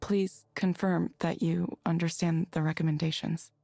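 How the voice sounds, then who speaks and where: Calm reserved game counselor lady, mellow slightly low voice, careful and measured. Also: vocal fry, no room sound, soft background music. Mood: calm, scripted. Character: calm, reserved woman reading for a counseling program. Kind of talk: naturalistic visual novel voice acting.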